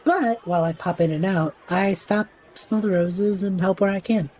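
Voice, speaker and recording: A woman's voice: mid-range voice, moderate pace, a little mellow, lightly acted. A woman voicing a cocky, flippant young male student adventurer in an audio drama, breezy, sarcastic and slangy.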